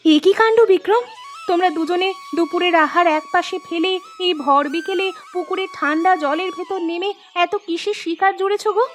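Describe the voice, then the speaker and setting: Lady doing a really high young cartoon wife, anxious, earnest, music behind. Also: normal speed. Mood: surprised. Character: anxious young married village sisters, devoted to their husbands. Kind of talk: animated folk-tale dialogue.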